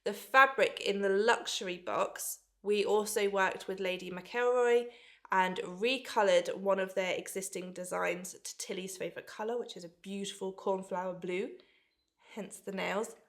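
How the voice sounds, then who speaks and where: A woman's voice: medium pitch, steady tone, normal speed, a bit bright. A woman in her thirties, stuffed up with a cold, chatting enthusiastically as she unboxes a sewing subscription box. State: blocked nose from a cold. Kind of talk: casual unboxing vlog.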